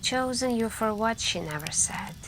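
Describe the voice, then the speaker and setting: A woman doing soft-spoken ASMR storytelling roleplay as a girlfriend by a campfire, hushed and intimate, with long pauses and some whispering. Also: a little high, lively intonation. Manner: hushed, drawn-out, pausing, intimate, with stretches of whispering. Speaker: roleplay storyteller playing a girlfriend on a camping trip. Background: campfire crackling and night ambience.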